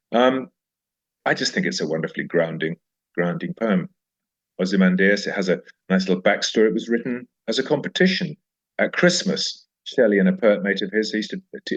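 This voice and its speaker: Deep-voiced, clear-spoken man in literary conversation. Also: highs cut off. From South Africa. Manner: emphatic, with repeated phrases and rhetorical questions. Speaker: podcast guest discussing a poem. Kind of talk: animated conversational literary discussion.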